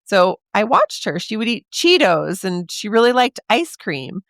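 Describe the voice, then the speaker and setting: Chatty woman podcast host, slightly raspy. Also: mid-range voice, very animated, measured pace, a bit bright, dry recording, clean studio sound. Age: 40s. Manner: chatty and encouraging, speaks directly to the listener. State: slightly raspy from a sore throat. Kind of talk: casual podcast monologue.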